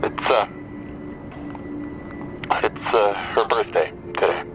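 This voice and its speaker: A man acting in an audio drama as a troubled, despairing caller, speaking in a halting, brooding way with stammers and trailing phrases. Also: on the low side, music in the background, rough recording. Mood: hesitant, apologetic. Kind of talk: full-cast audio drama dialogue.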